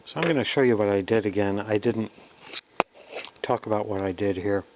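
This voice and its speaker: Low-voiced man giving a hands-on tutorial. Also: normal speed, noisy background. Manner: plain, explanatory, talking through each step while working. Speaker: host of an electronics kit build tutorial. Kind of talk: casual hands-on tutorial narration.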